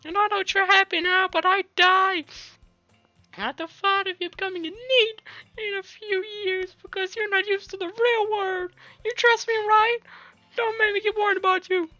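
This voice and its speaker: Super high squeaky anime schoolgirl, whiny and pleading, bit muffled with music under it. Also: fairly even intonation, moderate pace, sharp tone, dry recording. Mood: worried, pleading. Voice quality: squeaky falsetto. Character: carefree bubbly anime schoolgirl, worried, clingy childhood friend.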